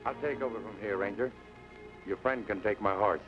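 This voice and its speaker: An actor playing a calm, wry Mexican police colonel with a Mexican Spanish accent, in a dull old radio drama recording with music. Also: male voice, on the low side, natural voice. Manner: dry, measured, ironic. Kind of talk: 1940s radio acting.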